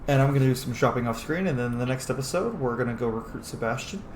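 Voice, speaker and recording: A man's voice: mid-range voice, lively intonation, fast talker, slight background noise. A man giving casual, sweary video game commentary, reacting aloud as he plays. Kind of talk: casual let's-play commentary. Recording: roomy echo, game music behind. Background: video game music.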